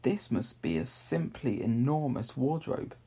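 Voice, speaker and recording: Man reading as a curious young girl, gravelly edge. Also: mid-range voice, big pitch swings, unhurried, warm tone, heavily acted. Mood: amazed. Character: curious young girl exploring, wondering and surprised.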